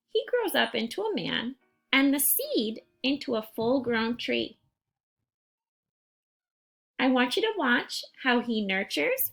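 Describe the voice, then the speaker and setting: A woman reads aloud to young children in a high voice at a slow, deliberate pace. Her tone has a creaky edge and her phrasing is careful and instructive, like a teacher at story time. Music plays along behind her. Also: fairly bright tone. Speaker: teacher reading a picture book to children.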